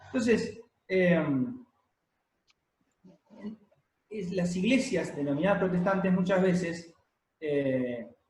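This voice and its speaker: High-voiced male preacher. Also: lively intonation, a little mellow, a little hiss or hum. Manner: explanatory teaching, frequent pauses and fillers. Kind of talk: sermon. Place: church hall, congregation present and streamed. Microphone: handheld or stand microphone. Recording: echoey room.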